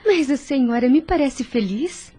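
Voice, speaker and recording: A woman playing a devout, caring young woman at a sickbed in an audio drama, gentle, earnest and consoling. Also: high-pitched, lively intonation, unhurried. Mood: gentle, pleased. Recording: soft music behind voice.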